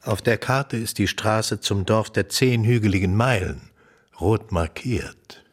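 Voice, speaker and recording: An actor playing a composed, discreet magistrate of imperial China in a German radio drama, speaking in a measured, formal, reserved manner. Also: male voice, lowish voice, slightly rough.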